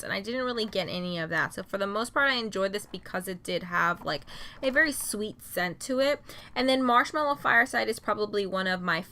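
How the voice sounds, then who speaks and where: Bright-voiced chatty woman beauty vlogger. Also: a little high. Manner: chatty, many "like" and "um" fillers. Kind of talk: casual product review.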